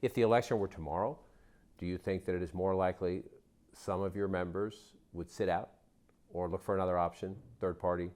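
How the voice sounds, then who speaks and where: A man's voice: low voice, fairly even intonation, a little fast, darkish tone. A man working as a reporter, putting questions to people in interviews.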